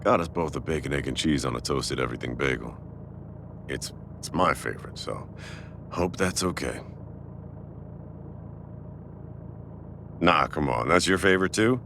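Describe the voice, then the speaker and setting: A man playing a warm, teasing restaurant owner with a secret crush in a casual, intimate boyfriend audio roleplay. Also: very deep voice, brisk, gravelly, slight background noise, full character voice. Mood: friendly, easygoing.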